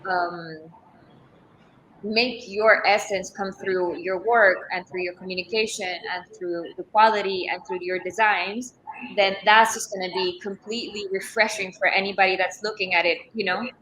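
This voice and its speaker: Young woman from Ecuador, bright tone, reflective and word-searching, roomy call audio. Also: medium pitch, fairly even intonation, moderate pace, slight background noise. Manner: thoughtful, searching for words, many restarts. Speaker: leather craftswoman and entrepreneur. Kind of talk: interview answers. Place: Florence, Italy.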